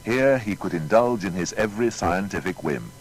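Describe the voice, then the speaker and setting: A man's voice: animated, moderate pace. A man narrating a scripted documentary biography in a formal, storytelling manner. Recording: faint noise with low rumble.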